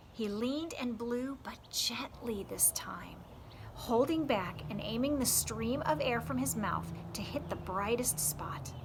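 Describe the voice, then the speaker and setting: Female storyteller, slightly high register, expressive and deliberate read-aloud host; homemade recording with light background noise. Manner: dramatic, deliberate storytelling with emphatic delivery. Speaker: read-aloud host for a youth scouting program. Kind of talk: expressive audiobook-style read-aloud narration.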